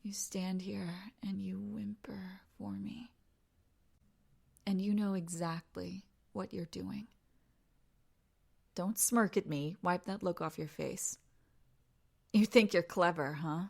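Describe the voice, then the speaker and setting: A woman playing a teasing, domineering young woman in an intimate audio roleplay, creaky and breathy, often dropping into whispers. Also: lowish voice, slow, pauses often, heavily acted. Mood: accusing, intimate. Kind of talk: intimate audio roleplay, second-person dramatic monologue.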